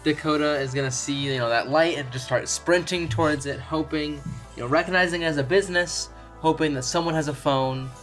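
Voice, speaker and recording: Halting, mid-pitched casual role-play player. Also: a bit bright, faint music behind. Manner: informal, halting, conversational. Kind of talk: casual improvised narration.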